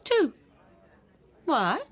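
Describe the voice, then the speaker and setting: An actress playing a frank, worldly café widow in a radio drama, blunt and teasing, with a husky voice. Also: female voice, sits high, wildly swooping pitch, extremely slow, exaggerated voice.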